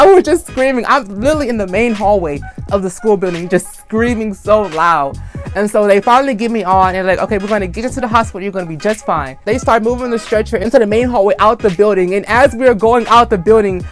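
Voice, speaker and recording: Young male vlogger, high voice, energetic storyteller who acts out every quote; light music bed. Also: fast talker. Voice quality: high-pitched voice. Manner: animated, acts out screams and quotes. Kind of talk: casual storytime.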